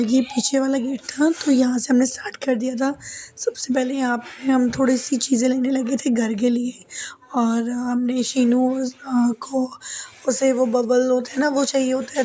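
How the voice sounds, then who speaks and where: A young woman narrating her shopping vlog in a chatty, casual way, her voice a little hoarse from a sore throat. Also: high-pitched, mellow. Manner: chatty, many fillers and "ठीक है" asides. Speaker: vlogger.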